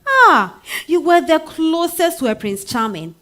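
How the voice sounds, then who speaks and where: A woman's voice: high-pitched, measured pace, full character voice. A Ghanaian woman playing a spirited married woman telling her love story in a dramatized stage reading, with conversational interjections. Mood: nostalgic, intense. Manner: expressive, conversational interjections like eh and hmm. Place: live literary festival stage reading.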